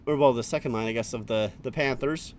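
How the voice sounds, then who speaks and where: A man streaming a live hockey watch-along, calling the play-by-play and chatting with viewers between plays. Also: medium pitch. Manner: rapid running play-by-play, swears, chats with viewers.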